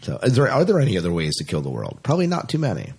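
A man's voice: on the low side, huge pitch swings, very fast, vocal fry, slightly rough. A man hosting a casual sci-fi podcast, chatting in an enthusiastic way. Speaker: podcast host.

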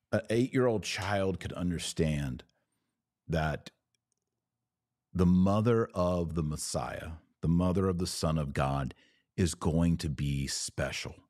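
A man's voice: sits low, a little mellow, no room sound, studio quality. An American man hosting a religious podcast, talking informally to online viewers in an emphatic way with repeated phrases and rhetorical questions.

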